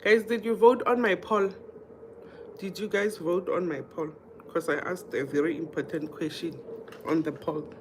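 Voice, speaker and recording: A woman's voice: on the low side, fairly even intonation, normal speed, darkish tone. South African woman chatting live, background noise. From Mpumalanga, South Africa. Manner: chatty, addresses viewers directly, repeats herself. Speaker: livestream host. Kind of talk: casual live chat, reading comments and telling anecdotes.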